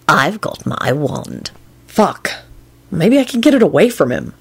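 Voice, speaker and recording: A woman's voice: sits low, very animated, measured pace, gravelly, slightly rough, exaggerated voice. A woman voicing an irritable, sarcastic, anxious male wizard who snaps and mutters in a story reading. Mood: tense muttering. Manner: snappish, sarcastic, hissing mutter.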